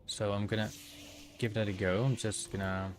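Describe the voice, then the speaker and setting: A man in his thirties with a Dutch accent chats casually to his stream viewers in a deep, low voice. He talks in halting bursts, full of fillers and restarts as he thinks aloud. Quiet game music plays behind him. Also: flat delivery. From Netherlands. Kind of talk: casual livestream commentary while gaming.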